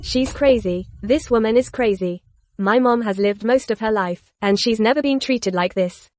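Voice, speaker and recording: A woman's voice: a little high, moderate pace, a little mellow, no echo, lightly acted. A woman playing a self-righteous, accusing young sister-in-law with an indignant, scolding manner in a dubbed radio drama. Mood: outraged.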